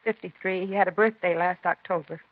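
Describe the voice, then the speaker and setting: Actress for worried fiftyish American wife, 1950s radio drama, subdued, plain-spoken, phone-thin sound. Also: female voice, lowish voice, steady tone, brisk, close to an everyday voice. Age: 50s. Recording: thin, narrow old radio recording.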